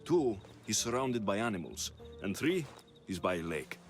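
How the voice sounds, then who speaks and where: A man's voice: on the low side, big pitch swings, normal speed, soft background music. A man playing a stern, resolute warrior in a video game, speaking in a terse, earnest, guarded way. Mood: grave.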